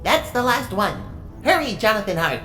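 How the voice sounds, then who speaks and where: A woman's voice: medium pitch, very animated, moderate pace, sharp tone, faint music behind. Woman as echoing, dutiful robot assistant. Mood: brisk, focused. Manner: precise, formal status reporting. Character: helpful, dutiful robot assistant in a command center. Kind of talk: audio drama acting.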